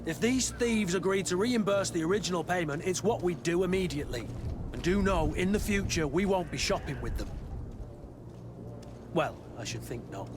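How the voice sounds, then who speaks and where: An actor playing a blunt, sardonic guard captain in a video game, with a grumbling, exasperated manner. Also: male voice, sits high, animated, moderate pace. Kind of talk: scripted video game dialogue. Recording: music behind the voice.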